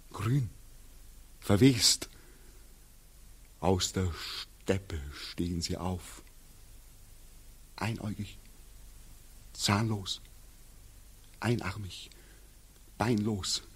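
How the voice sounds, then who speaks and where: An actor playing a tormented returning soldier in a 1940s radio drama, theatrical and obsessive as he recounts a nightmare. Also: fairly low pitch. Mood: haunted, brooding. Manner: theatrical, obsessive, swinging from hushed murmurs to frenzied cries. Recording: muffled, husky 1940s radio recording.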